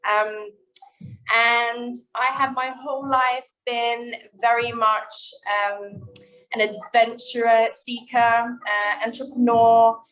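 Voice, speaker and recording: A woman's voice: a little high, level tone, slow, a bit bright, low-quality recording. A woman from Norway, a singer and entrepreneur, speaking earnestly in a video-call interview with frequent ums and restarts.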